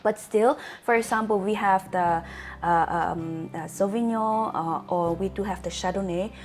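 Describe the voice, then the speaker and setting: A woman from Germany talks casually as she explains things to a companion. Her pitch is medium and her delivery is halting, with pauses to search for words. Soft music plays behind her. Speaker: wine-savvy guest explaining to host.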